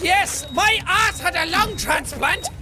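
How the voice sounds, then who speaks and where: Manic high-pitched German-accented quack surgeon. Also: male voice, slow, over loud music. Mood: boastful, gleeful. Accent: mock German. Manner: theatrical, boastful, swearing, erratic outbursts. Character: manic, cocky, foul-mouthed quack male surgeon with foreign accent. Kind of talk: in-character comedic video game commentary.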